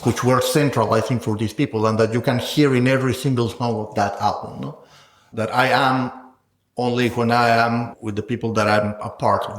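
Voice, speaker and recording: A middle-aged man talking reflectively and conversationally as an interviewed scholar, explaining his thinking thoughtfully. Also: fairly low pitch. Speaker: guest scholar interviewed about research. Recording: roomy, echoing sound.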